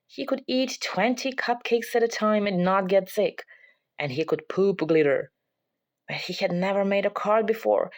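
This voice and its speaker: A woman reading a children's picture book aloud as a parent would, in scripted storybook narration. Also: mid-range voice.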